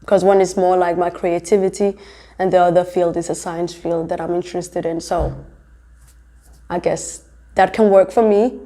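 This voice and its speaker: Mellow, dark-voiced young woman from Denmark. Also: on the low side, fairly even intonation, moderate pace. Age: young adult. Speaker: interviewee, fashion designer and entrepreneur. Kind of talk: casual interview talk.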